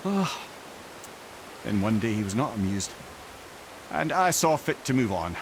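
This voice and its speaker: A man's voice: lowish voice, big pitch swings, measured pace, background noise. An actor playing a witty, wry old Scottish counselor in a video game, eloquent and theatrical in his storytelling. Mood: amused, reminiscing. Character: witty, wry old counselor, a well-travelled talking head. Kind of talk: video game character dialogue.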